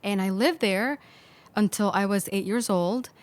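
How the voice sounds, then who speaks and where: A woman's voice: medium pitch, unhurried. A woman from Mexico, a podcast guest, speaking thoughtfully in casual conversation with many fillers. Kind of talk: conversational storytelling.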